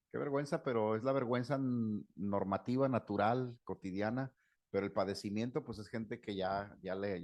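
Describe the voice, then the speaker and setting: A man's voice: lowish voice, vocal fry, darkish tone. A middle-aged man, a psychotherapist, giving an informal talk to a group, chatty and anecdotal with frequent fillers and laughs. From Mexico. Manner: conversational, anecdotal, laughs often, many fillers.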